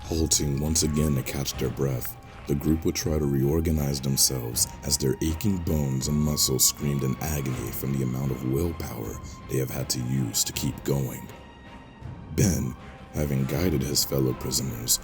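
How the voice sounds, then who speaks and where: A man's voice: a bass voice, fairly even intonation, moderate pace, over music. A man giving scripted, dramatic narration as the storyteller of an audio drama.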